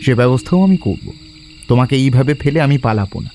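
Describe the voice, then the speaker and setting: An actor playing a resolute, quick-witted schoolboy adventurer in an audio drama, speaking in a decisive, earnest way. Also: male voice, medium pitch, expressive, dark tone, slight background noise, close to an everyday voice. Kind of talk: Bengali audio drama acting.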